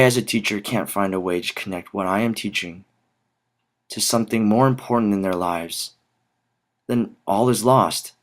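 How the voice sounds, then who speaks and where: Low-voiced young American man telling a story. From Dallas, Texas, United States. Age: young adult. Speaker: student art teacher telling a personal story. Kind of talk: rehearsed spoken personal narrative.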